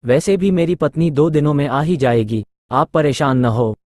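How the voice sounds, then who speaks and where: A man's voice: fairly high pitch, moderate pace, a little mellow, no room sound, clean studio sound, close to an everyday voice. A man acting polite, simple villagers in a Hindi cartoon moral story.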